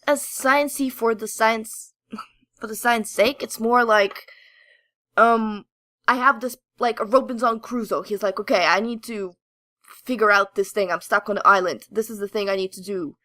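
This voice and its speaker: A woman's voice: on the high side, steady tone, moderate pace, fairly bright tone, dry recording. A woman co-hosting a podcast, explaining and recommending things in casual, enthusiastic, emphatic talk. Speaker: podcast co-host. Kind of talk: casual chat, enthusiastic review.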